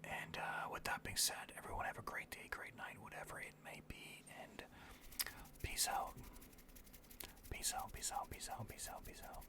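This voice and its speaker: A video host speaking in a breathy whisper, rambling casually through anecdotes with some swearing. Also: moderate pace.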